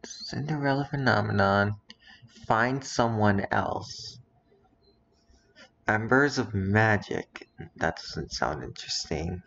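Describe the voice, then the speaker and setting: A man's voice: fairly low pitch, lively intonation, takes it slowly, gravelly, fairly bright tone. A teenage boy streaming, muttering to himself as he browses and reads titles aloud. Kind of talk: casual live-stream commentary. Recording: dull lo-fi audio with faint noise.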